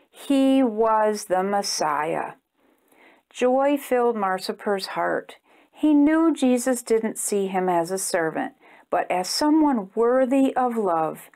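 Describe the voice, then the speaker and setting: High, slow, lively woman reading to children. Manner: expressive, simple phrasing aimed at young children. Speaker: children's storytime reader and host. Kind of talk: animated children's storybook read-aloud.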